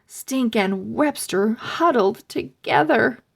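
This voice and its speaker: A woman reading a children's book aloud in an expressive, deliberate storytelling manner. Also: a little high, vocal fry. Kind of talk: read-aloud storytelling for kids.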